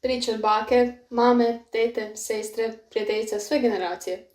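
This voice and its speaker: A woman narrates a film in a reflective, brooding voice-over, higher pitched and mellow in tone. The playback sounds roomy. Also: fairly even intonation, measured pace.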